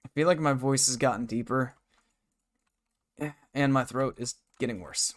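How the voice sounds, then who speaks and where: A hoarse man live-streaming a game from his bedroom desk, rambling and muttering to himself and his chat. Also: mid-range voice, moderate pace. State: hoarse, scratchy throat.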